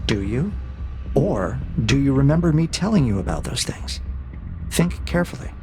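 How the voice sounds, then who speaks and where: An actor playing a cold, calculating interrogator AI in an audio drama, with a measured, probing and quietly menacing manner. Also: male voice, mid-range voice, big pitch swings, soft background music. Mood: coolly probing. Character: cold, calculating male interrogator AI breaking a prisoner. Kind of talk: scripted audio drama acting.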